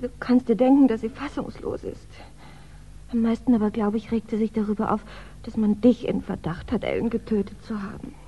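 An actress playing an anxious, tender wife in a 1960s German radio crime drama, speaking hesitantly and with emotion. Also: female voice, on the high side, a little fast, warm tone, husky. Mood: anxious, subdued. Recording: dull, band-limited 1960s radio recording.

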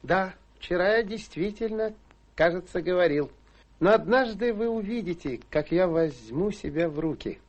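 An actor in a radio play voicing a pompous, bashful, gluttonous young gentleman who blusters. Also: sits high, animated, normal speed. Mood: self-assured. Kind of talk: theatrical radio play acting.

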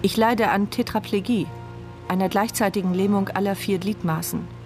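Woman acting, radio drama narrator, inner monologue, bitter, sardonic, reflective, medium pitch, faint music. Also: fairly even intonation, normal speed, darkish tone, lightly acted. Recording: studio radio play with music underneath.

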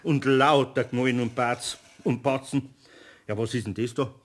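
A man's voice: mid-range voice, steady tone, moderate pace, close to an everyday voice. A man playing a grumbling but good-natured older craftsman with a Bavarian accent in a radio play. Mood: dismayed. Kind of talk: German radio play acting.

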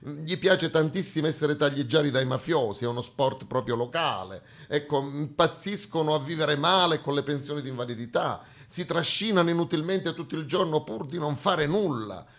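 A man's voice: on the high side, normal speed, few pauses, a bit bright. Ironic man delivering a stage monologue. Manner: ironic, colloquial, rhetorical questions. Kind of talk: satirical theatre monologue to an audience. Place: theatre stage.

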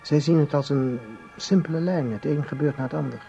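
An actor playing a learned time traveller in a radio play, calm, formal and didactic. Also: male voice, fairly high pitch, fairly quick, creaky, muffled recording, faint music behind. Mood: calm, reasoning. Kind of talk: Dutch radio play acting.

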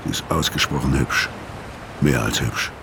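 A weary ex-convict in a radio crime drama, with an extremely low voice full of gravel. He is terse and guarded, telling his own story with understatement over noticeable background noise. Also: moderate pace. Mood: laconic, brooding. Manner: laconic, understated. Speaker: narrator and lead character. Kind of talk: German radio crime drama, first-person narration and dialogue.

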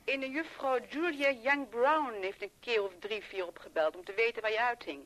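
An actress playing an efficient, discreet secretary in a radio play, reporting in a composed, matter-of-fact way. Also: female voice, fairly high pitch, moderate pace, fairly bright tone, lightly acted, poor audio. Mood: businesslike. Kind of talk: 1970s Dutch radio play acting.

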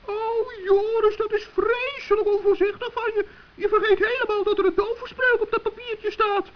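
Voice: extremely high pitch, fairly even intonation, normal speed. A performer voicing a good-hearted, easily alarmed little male gnome in a children's radio play, earnest and fretful. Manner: fretful, earnest, stammering when upset. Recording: dull, muffled old radio recording.

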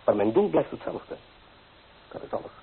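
An actor playing an earnest, stubborn and ambitious small-town architect in a Dutch radio drama. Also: male voice, mid-range voice, sing-song, moderate pace, creaky, breathy edge, heavily acted. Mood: defiant. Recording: tinny, hissy old radio play recording.

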